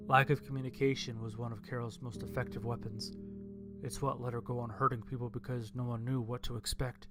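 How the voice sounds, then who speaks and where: A man reading a scripted horror story as its narrator. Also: mid-range voice. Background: instrumental music.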